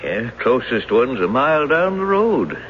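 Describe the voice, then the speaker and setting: A man's voice: medium pitch, very animated, unhurried. An actor in a radio drama playing a genial, folksy old retired bank man who coaxes like a crafty confessed robber. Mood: folksy, welcoming. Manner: folksy, coaxing. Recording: dull, noisy old radio broadcast.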